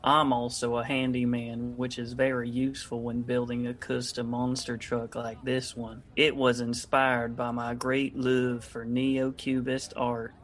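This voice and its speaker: An actor playing an earnest, self-promoting politician and handyman with a deadpan manner in a radio comedy. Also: mid-range voice, unhurried, few pauses, lightly acted. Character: earnest, flat-toned male politician and handyman.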